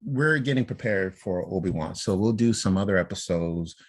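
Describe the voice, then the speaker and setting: A man's voice: sits low, expressive, normal speed. A middle-aged man with an American accent, talking conversationally as a podcast host.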